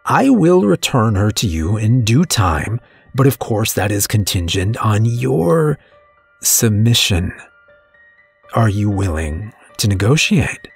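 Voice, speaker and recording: Brief: a man voicing a sly, silky soul-dealer for a dark audiobook tale, low and slow, faintly husky. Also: very animated, soft background music, heavily acted. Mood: mock-polite. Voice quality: smooth, sharp, mock-polite. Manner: taunting, giddy, drawling. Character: pale, refined, taunting male soul-dealer.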